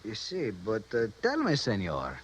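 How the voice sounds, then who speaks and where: A man's voice: fairly low pitch, wildly swooping pitch, measured pace, husky, heavily acted. Sly man. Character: smooth Mexican man, sly and amused. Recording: lo-fi 1950s radio broadcast with faint hiss.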